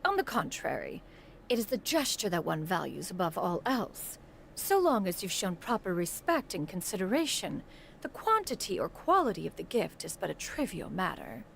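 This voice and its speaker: A woman's voice: fairly low pitch, very animated, normal speed, gravelly, a little hiss or hum. A woman voicing a proud, haughty immortal bird spirit in human form for a video game, speaking in a formal, lofty, archaic way. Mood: gracious, formal.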